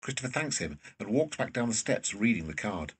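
Husky guy narrating a script, lowish voice, bit far from the mic. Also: big pitch swings, a little fast. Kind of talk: scripted narration of stage directions.

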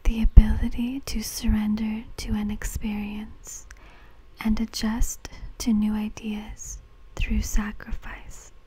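Female ASMR fortune teller: fully whispered, very breathy, slow and measured delivery. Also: fairly high pitch, warm tone, poor audio. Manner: slow, deliberate, measured phrasing.

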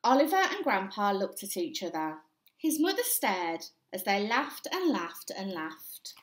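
Animated woman reading a story, echoey room. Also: mid-range voice. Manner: animated, deliberate storytelling for young children. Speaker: primary school story time reader. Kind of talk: expressive read-aloud of a children's picture book. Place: small indoor room with bare walls.

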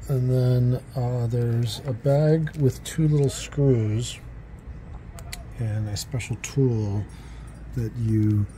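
A man's voice: medium pitch, noisy background. A man narrating a home how-to video, hesitant with frequent fillers, recorded on a handheld phone. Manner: halting, many fillers and restarts. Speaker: DIY home video host. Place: indoors and at a house's front door. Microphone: handheld phone camera, speaker off screen.

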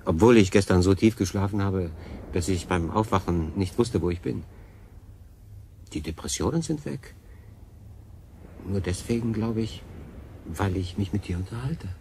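An actor playing a weary, pensive but determined stranded seafarer in a radio drama, speaking reflectively and deliberately. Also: male voice, low voice, a little mellow. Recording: radio play with clear background noise.

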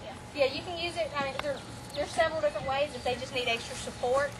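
A woman gives practical, brisk instruction to a group of camp counselors at a lakeshore. She raises her voice to carry across the group. She is caught by a camera at some distance, under loud outdoor noise. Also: high-pitched. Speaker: camp staff trainer.

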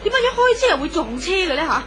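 A woman playing an excitable, fretful bystander in a 1970s radio drama, exclaiming in a Hong Kong Cantonese accent. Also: extremely high pitch, fast. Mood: alarmed. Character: alarmed, excitable female bystander. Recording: loud crowd and noise, old radio.

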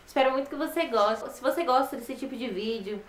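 Mulher brasileira animada, sala com eco. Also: female voice, on the high side, a little fast. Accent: Brazilian Portuguese. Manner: chatty, friendly, often ends with "tá?". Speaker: DIY channel host. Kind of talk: upbeat on-camera intro and outro. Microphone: fixed camera at close range. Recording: echoey small room.

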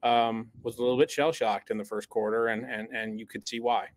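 A man's voice: mid-range voice, flat delivery, a little fast, no room sound. Emphatic man breaking down a football loss. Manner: emphatic, repeats words when worked up. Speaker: podcast co-host. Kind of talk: sports podcast analysis.

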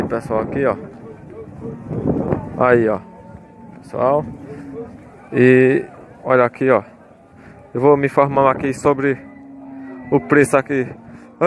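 A man's voice: mid-range voice, background noise. A man walks through outdoor cattle pens, filming on his phone and chatting to his viewers, repeating his call-outs to them. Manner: repetitive, chatty, keeps calling out to his audience. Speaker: vlogger touring a cattle fair. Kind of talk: casual walkaround commentary to viewers. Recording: outdoor market with crowd chatter.

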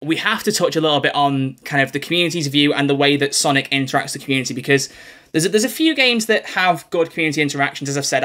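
Fast, bright-voiced young man. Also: medium pitch. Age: young adult. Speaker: podcast host interviewer. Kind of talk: casual interview chat. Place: small bedroom with posters, on a video call.

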